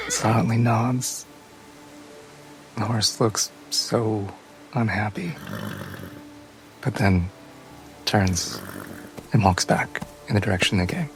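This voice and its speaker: A man playing a tabletop fantasy game, narrating his character's actions in halting, measured storytelling. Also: on the low side, low-quality recording. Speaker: player.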